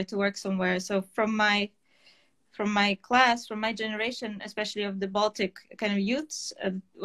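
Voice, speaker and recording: A woman's voice: mid-range voice, a bit bright. A woman in her thirties from Estonia, an artist answering interview questions on a video call, thoughtful with many hesitations. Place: home room, indoors. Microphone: laptop webcam on video call.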